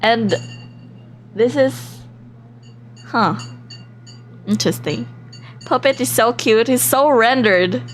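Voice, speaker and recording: A woman live streaming a game in a casual, excitable, giggly way, reading text aloud and reacting expressively as she goes. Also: a little high, takes it slowly, pauses often. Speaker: livestream host.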